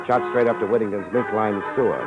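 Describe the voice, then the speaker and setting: An actor as a dry, wisecracking radio private eye. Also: male voice, on the low side, fast talker, close to an everyday voice. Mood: wry narration. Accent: American. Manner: dry, wry, slangy. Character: wisecracking hard-boiled male private detective, cool under threat. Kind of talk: 1950s radio detective drama acting and first-person narration. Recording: dull old radio recording, music behind.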